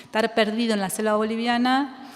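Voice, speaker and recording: A woman's voice: a little high, steady tone, a little fast. A woman writer from Argentina with an Argentine accent, speaking reflectively in a public conversation, thinking aloud with many fillers and restarts and frequent laughter. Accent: Argentine Spanish. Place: school auditorium with audience. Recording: reverberant hall.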